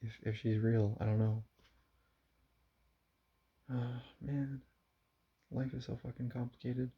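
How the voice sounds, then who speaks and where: A young man talking quietly into his camera at home in a confessional vlog, halting and subdued, with frequent sighs. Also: medium pitch, steady tone, slightly rough. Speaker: vlogger. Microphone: camera mic. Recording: dull lo-fi camera audio.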